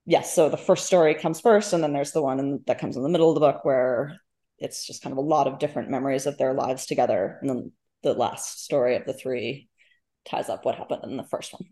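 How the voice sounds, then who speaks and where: Woman writer w low voice, casual interview chat, thoughtful, stops and rephrases a lot. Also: mellow. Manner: thoughtful, halting, self-correcting. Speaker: guest author, short story writer.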